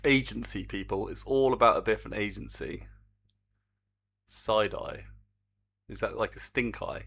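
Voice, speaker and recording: A man's voice: on the low side, unhurried, creaky, a bit bright, slightly rough. A man streaming his game development work, chatting to viewers in a rambling, self-mocking way. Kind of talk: casual live-stream commentary while coding.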